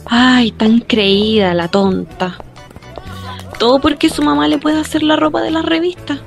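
A woman's voice: high-pitched, steady tone, unhurried, gravelly, soft background music. A woman with a Chilean accent playing a catty, envious young gossip in a radio drama, chatty and colloquial.

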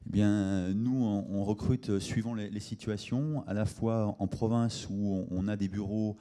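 A middle-aged man in a panel discussion, talking haltingly with repeated words into a handheld microphone. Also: on the low side, fairly even intonation, dark tone. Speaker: commercial director, conference panelist. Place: conference auditorium stage.

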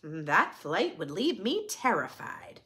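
A woman's voice: on the low side, sing-song, takes it slowly, pushed and bright, voice pushed down, exaggerated voice. A woman voicing a wary, hard-to-please young boy in a picture book, answering with reluctant doubt.

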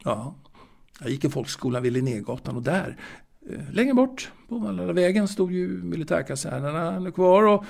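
An elderly man, played by a male actor, looks back wistfully on his boyhood in an audio drama monologue. His voice sits a little high and crackles with gravelly fry. He muses haltingly, hesitating and repeating words as he remembers. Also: lively intonation, a little mellow, heavily acted. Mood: wistful, musing. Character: old man wistfully reminiscing about his 1920s Stockholm boyhood.

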